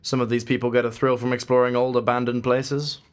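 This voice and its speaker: A woman playing a brisk, commanding, easily exasperated supernatural investigator with formal speech in an audio drama. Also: a bass voice, flat delivery, darkish tone, smooth. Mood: businesslike, probing.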